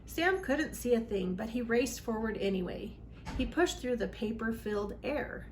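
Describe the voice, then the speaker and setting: Woman doing storytime for kids, highish voice, expressive and dramatic, bit of background noise. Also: normal speed, poor audio. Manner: expressive, dramatic emphasis on sound words. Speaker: children's library read-aloud host. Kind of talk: animated storybook read-aloud for children.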